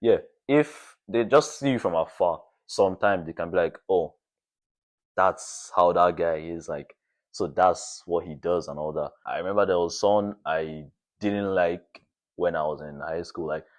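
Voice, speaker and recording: Young guy podcast guest, really low voice, casual, sorta halting as he thinks out loud. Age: young adult. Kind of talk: casual chat.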